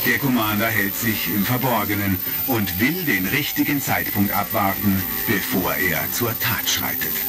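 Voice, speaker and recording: A male narrator with a low voice reads in dramatic radio play style. Music plays clearly behind him, with vintage record crackle. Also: a little slow, hardly any pauses.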